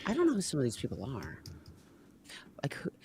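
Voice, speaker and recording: A man's voice: medium pitch, huge pitch swings, a little fast, gravelly, a little mellow, a little hiss or hum. A man co-hosting a casual group chat, thinking aloud in an informal way. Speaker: co-host.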